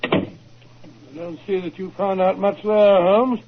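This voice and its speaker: An actor playing an earnest, puzzled British gentleman sidekick in a 1940s radio drama, blustery and exclamatory. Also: high voice, little pitch movement, slow, heavily acted. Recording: muffled old 1940s radio recording.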